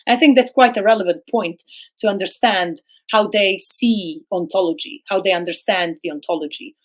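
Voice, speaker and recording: A middle-aged woman who grew up speaking Serbo-Croatian, a guest philosopher on a podcast, speaking slowly and hesitantly with many fillers and restarts. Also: mid-range voice. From former Yugoslavia. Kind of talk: academic podcast discussion.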